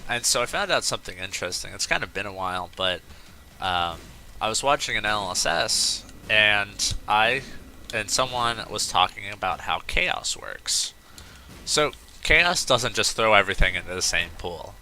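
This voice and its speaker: A man's voice: lowish voice, expressive, moderate pace, bright, forceful tone, slight background noise. A man hosting a let's play, chatting casually and rambling into off-topic asides while he plays. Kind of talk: casual gameplay commentary.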